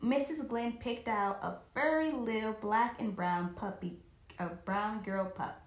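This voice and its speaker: A woman reading a children's story aloud in an expressive, animated way, occasionally stumbling and rereading a phrase. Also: medium pitch, measured pace, roomy sound.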